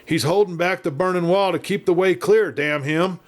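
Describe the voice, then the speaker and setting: A man voicing a gaunt, excitable cowboy henchman in a Western audiobook, talking wildly in rustic frontier dialect. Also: high voice, moderate pace, voice pushed up, natural voice. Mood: wild excitement.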